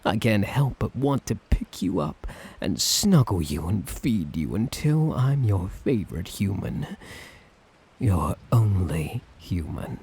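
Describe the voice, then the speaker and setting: Man acting as sadistic doting dictator, low breathy voice, swooping intonation, theatrical, teasing, ASMR roleplay. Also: very animated, takes it slowly, a little mellow, heavily acted. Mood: tender, possessive. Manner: teasing, theatrical, condescendingly affectionate. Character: suave, sadistic, doting male tyrant, obsessively in love.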